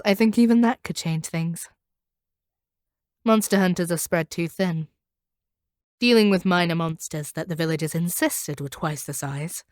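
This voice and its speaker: An actress voices a hardened monster hunter woman in a scripted audio roleplay. Her voice sits a little low and is recorded very dry and close. She talks down to her captive with measured, domineering authority and a proud, bitter edge. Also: no room sound, close to an everyday voice. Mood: calculating, condescending.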